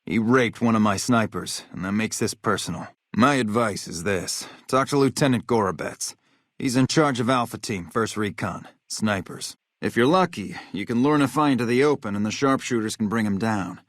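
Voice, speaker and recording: An actor playing a hard-bitten, vengeful military officer in a video game, blunt, profane and matter-of-fact. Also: male voice, fairly low pitch, normal speed, no room sound, clean studio sound, close to an everyday voice. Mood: grim, warning. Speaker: game character, army officer.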